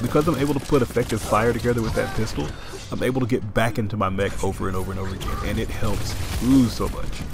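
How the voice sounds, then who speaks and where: A man commentating his own gameplay, explaining tactics in a coaching tone with quick excited asides, his nose a little stuffy. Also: mid-range voice.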